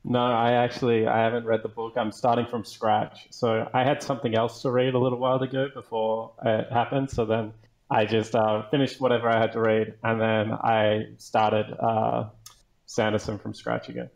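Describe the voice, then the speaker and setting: A man with an average-pitched voice speaks as a guest panelist. His style is casual and conversational, like relaxed talk among colleagues on an esports show. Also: normal speed, gravelly, rough recording. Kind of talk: casual chat.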